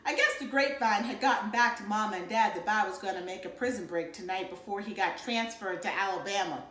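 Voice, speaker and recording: A woman reading a children's novel aloud in expressive storybook narration. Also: medium pitch, fast talker, reverberant, low-quality recording. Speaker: read-aloud reader.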